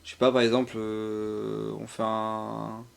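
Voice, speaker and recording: A man's voice: on the low side, monotone, a little slow, gravelly, clear, resonant tone. A young man co-hosting a casual live stream, hesitant and rambling as he thinks aloud. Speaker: streamer, co-host.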